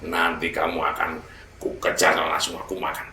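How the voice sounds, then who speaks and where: A man's voice: rumbling low, completely flat delivery, moderate pace, bright, forceful tone, reverberant, far from the mic, slight background noise, pitched below the performer's own voice, full character voice. A man voicing a hungry, gullible, angry tiger in a children's fable, blustering and threatening. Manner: blustering, threatening, easily fooled.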